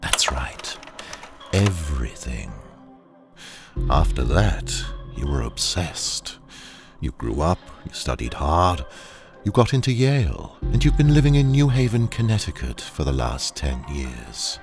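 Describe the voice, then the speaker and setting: An actor in an audio drama playing a ruthless training engineer who speaks in a deliberate, condescending, hypnotically coaxing way to a captive. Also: male voice, rumbling low, very animated, creaky, music in the background, heavily acted. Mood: hypnotic. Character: ruthless, sinister male training engineer, coolly brainwashing a captive.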